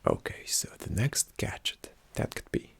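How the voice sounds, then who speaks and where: A man performing a whispered ASMR roleplay as a calm spy agent, giving hushed, deliberate instructions in a soft, breathy, slightly creaky voice. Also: a little hiss or hum. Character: calm, attentive male spy agent briefing a partner.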